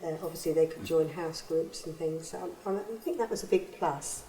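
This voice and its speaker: A woman's voice: low voice, normal speed, crowd noise. A woman chatting about hosting refugees, often checking for agreement with tag questions. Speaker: refugee host. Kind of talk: casual conversation. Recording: roomy echo.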